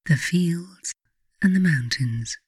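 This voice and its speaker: A woman's voice: deep voice, animated, slightly rough, no room sound. Woman narrating reflectively, close dry recording. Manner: measured, deliberate phrasing with frequent pauses. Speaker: author reading own book, guided meditation narrator. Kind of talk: scripted audiobook narration, reflective guided meditation.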